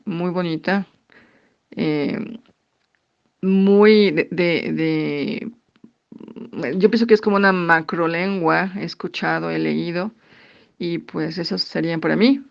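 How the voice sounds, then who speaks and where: A Mexican woman answers interview questions slowly and thoughtfully, pausing on fillers as she works out each point. Her voice carries a gravelly edge, and the recording sounds dull and lo-fi. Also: on the low side. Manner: thoughtful, halting with frequent fillers. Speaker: interviewee, English teacher.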